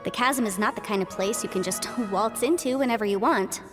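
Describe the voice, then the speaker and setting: A woman's voice: mid-range voice, brisk, over music, lightly acted. A woman playing a polite, articulate young female legal advisor in an English video game dub, cheerfully businesslike. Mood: polite, explanatory. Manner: articulate, explanatory.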